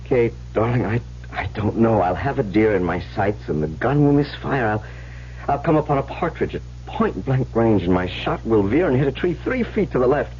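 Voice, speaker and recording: An actor playing an anxious, earnest young huntsman in love, with halting, theatrical delivery in a radio drama. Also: mid-range voice, expressive, a little mellow, close to an everyday voice. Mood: troubled, pleading. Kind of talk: old-time radio drama acting. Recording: muffled, lightly noisy old radio broadcast.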